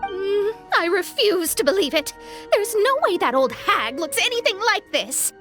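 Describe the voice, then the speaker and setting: A proud, dramatic young astrologer from an anime game. Her voice is high and swings widely in intonation, haughty and theatrical. Music plays clearly underneath. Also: animated, unhurried, a bit bright, full character voice. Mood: indignant. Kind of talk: English anime game dub.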